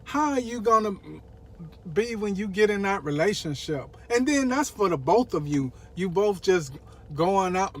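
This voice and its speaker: A man's voice: high-pitched, lively intonation, normal speed. An American man co-hosting a relationship vlog, chatting casually in a colloquial, joking way. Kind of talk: casual chat.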